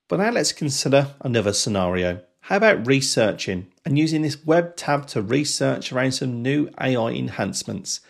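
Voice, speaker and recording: A man in his forties hosting a software tutorial in a presenter style. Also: mid-range voice, vocal fry, husky. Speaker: tutorial host.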